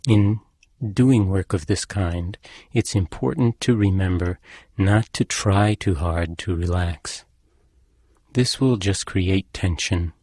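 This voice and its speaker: A man leading a guided meditation as an instructor, speaking in a calm, measured and gently instructing manner. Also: low voice, no room sound, studio quality.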